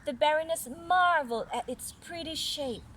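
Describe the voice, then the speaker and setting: A woman reading a fairy tale aloud to children in an instructive way, pausing for chatty comments and questions. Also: high voice, fairly bright tone. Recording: noisy home recording.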